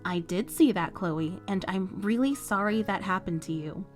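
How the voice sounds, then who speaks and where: A woman voicing a patient female teacher who speaks in a calm, measured, gently firm way. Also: on the high side, soft background music, natural voice. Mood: gentle. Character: patient adult female teacher, stern but kind.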